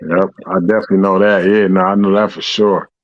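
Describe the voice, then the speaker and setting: A man with a fairly low voice hosts a casual podcast chat, talking in a laid-back, slangy way. His sound is dry and somewhat dull, like a lo-fi mic. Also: level tone, a little fast, dry recording. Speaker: podcast host.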